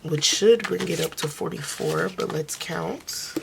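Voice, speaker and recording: A woman who is losing her voice speaks softly and casually, counting money aloud in a budgeting vlog. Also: unhurried, gravelly, noisy background. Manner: soft, low-key, counting under her breath. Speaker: budgeting vlogger.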